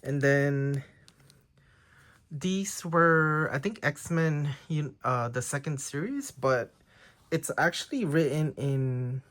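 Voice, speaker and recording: A man's voice: on the high side, expressive, slow pace, fairly bright tone. A man whose first language is Tagalog talks casually through a pile of trading cards, often unsure of the details. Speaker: trading card collector. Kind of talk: casual show-and-tell of a card haul.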